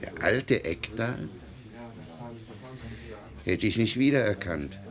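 An actor playing a jovial, pompous, snobbish court chamberlain in a radio play, with arch manners. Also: male voice, fairly low pitch, animated, takes it slowly. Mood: haughty, curious. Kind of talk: 1950s German radio play acting. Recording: 1950s radio recording, party chatter behind.

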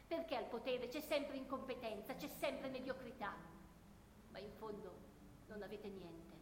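A woman acting a lonely, tormented soldier in a radio play, her voice somewhat high. The delivery is solemn and declamatory, full of brooding disillusion, and it echoes in a reverberant space with noticeable noise. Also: a little slow, close to an everyday voice. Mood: bitter accusation. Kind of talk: theatrical radio play monologue. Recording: echoey hall with clear background noise.

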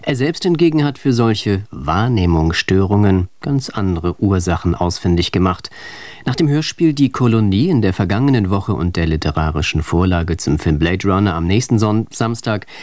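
A man giving a scripted, measured introduction to a radio drama as its presenter. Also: low-pitched, expressive, a little mellow, dry recording.

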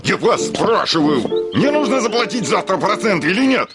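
An actor playing a hot-tempered, blustering landowner scornful of women in a theatrical, ranting classic Russian radio play style. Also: male voice, sits high, sing-song, moderate pace. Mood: furious, insistent. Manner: theatrical, ranting, swinging from mutters to shouts. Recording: studio radio play with music behind.

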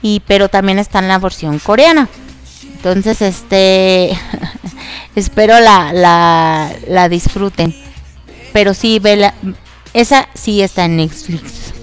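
A woman's voice: mid-range voice, slow, clear, resonant tone, soft background music. A woman hosting an online radio show, nasal and congested from allergies, chatting casually with many fillers and giggles. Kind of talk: casual radio chat about K-dramas.

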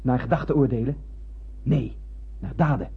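Een acteur die in een hoorspel een ernstig, filosofisch bemanningslid speelt, met hese stem en declamerende toon. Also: male voice, medium pitch, moderate pace, vocal fry, slightly rough. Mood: earnest. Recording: dull old radio-play recording.

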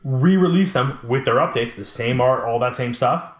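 A man in his late twenties chatting casually and talkatively, telling animated stories in a roomy, echoing space. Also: mid-range voice, moderate pace. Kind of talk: casual chat about collectibles. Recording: roomy, echoing room sound.

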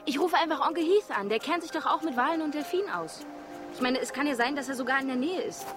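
Headstrong daughter, high lively voice. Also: female voice, fast, music in the background. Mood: eager. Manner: impatient, insistent. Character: headstrong, earnest daughter, passionate about protecting animals. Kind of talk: naturalistic radio drama acting.

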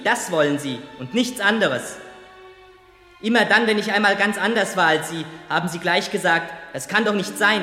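Unforgiving young son, radio drama, high voice, insistent formal accusations, reverberant hall. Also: male voice, pushed and bright. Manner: insistent, formal, prosecuting questioning. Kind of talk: radio play acting, 1970s. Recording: reverberant hall, music behind.

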